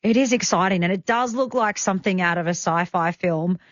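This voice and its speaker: A woman's voice: fairly low pitch, a little fast, no echo. Chatty Australian woman hosting radio. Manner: chatty, enthusiastic. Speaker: radio host. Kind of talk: live radio interview. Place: radio studio. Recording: slightly dull radio broadcast audio.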